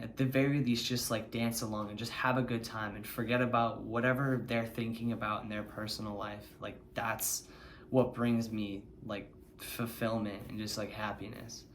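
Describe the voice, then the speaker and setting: A man's voice: medium pitch, a little hiss or hum. A man in his twenties, a band member, speaking in an earnest, heartfelt way in an interview. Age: 20s. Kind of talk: documentary interview.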